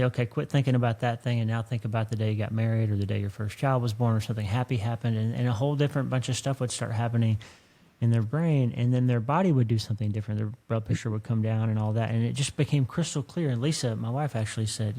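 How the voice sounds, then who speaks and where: A man hosting a podcast in a conversational, earnest, effusive and folksy way, with a creaky voice. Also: medium pitch, fast talker, dark tone. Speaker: podcast host. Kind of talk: conversational interview and podcast talk.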